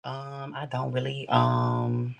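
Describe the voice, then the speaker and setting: A man's voice: medium pitch, completely flat delivery, slow, vocal fry, faint music behind. A man in his twenties from New Orleans, a guest on a dating show, talking in a laid-back, slangy way that often trails off. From New Orleans, USA. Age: 20s. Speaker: guest contestant. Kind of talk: casual chat.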